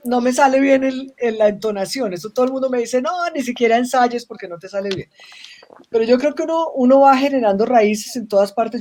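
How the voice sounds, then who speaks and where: A Colombian woman with a fairly high voice talks reflectively as a guest in an online conversation. She often circles back, restarts her phrases, and checks in with the listener through little tag questions. Her voice comes through a video call. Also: mellow. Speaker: webinar guest. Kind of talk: casual interview conversation.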